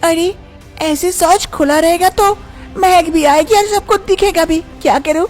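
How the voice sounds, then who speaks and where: A woman's voice: extremely high pitch, measured pace, faint music behind, voice pushed up. A woman voicing a timid, scheming young wife in a storybook tale, who frets and mutters to herself. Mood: fretful. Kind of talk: storybook voice acting.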